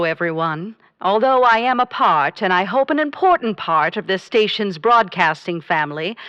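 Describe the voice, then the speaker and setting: A woman acts out a stuffy station manager in a radio comedy, speechifying with formal pomposity. The voice is medium in pitch and very dry, free of room sound. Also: fairly bright tone, no room sound, lightly acted. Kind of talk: comedic radio drama acting.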